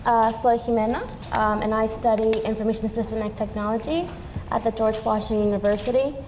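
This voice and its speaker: A woman's voice: fairly high pitch, fairly even intonation, brisk, darkish tone. A young woman from Peru, a student panelist, answering hesitantly with many fillers on stage. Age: young adult. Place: event stage in a large hall. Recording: reverberant hall with faint noise.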